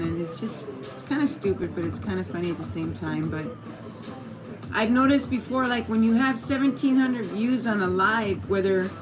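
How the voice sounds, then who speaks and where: A woman with a Mexican American accent, chatting in a meandering way on a casual livestream, with lots of fillers and restarts and greetings to viewers. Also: medium pitch. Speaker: YouTube live streamer. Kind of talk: casual rambling livestream chat. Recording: noisy livestream audio.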